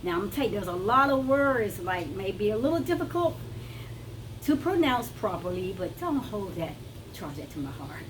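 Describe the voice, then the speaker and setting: A woman's voice: medium pitch, very animated, normal speed. A woman with a Brazilian accent, talking to viewers in a casual, emphatic teaching style, repeating words for stress. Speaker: wellness vlogger. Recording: noticeable background noise.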